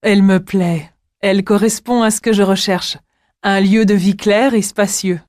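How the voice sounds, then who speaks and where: A polite young woman, acted by a woman in a language-exam dialogue. Her pitch is medium and the sound is dry, with no room. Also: steady tone, normal speed, a little mellow, no room sound, natural voice.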